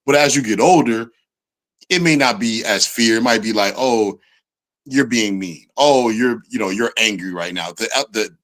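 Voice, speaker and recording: A man's voice: on the low side, normal speed, fairly bright tone. A man in his thirties in casual chat, explaining his view thoughtfully with many "like"s.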